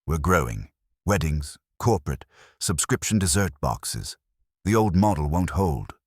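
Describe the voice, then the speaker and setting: Man voicing a smug deep-voiced corporate heir. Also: very animated, unhurried, a little mellow, slightly rough, no room sound, studio quality, exaggerated voice. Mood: smug, evasive. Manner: curt, entitled, polished. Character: smug corporate bakery heir in his thirties.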